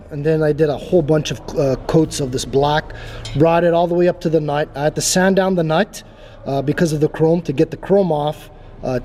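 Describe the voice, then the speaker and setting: Hobbyist man, casual how-to narration, rambling with fillers, voice on the higher side. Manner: relaxed, rambling, many filler restarts. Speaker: vlogger installing a CB radio. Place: parking garage beside his truck.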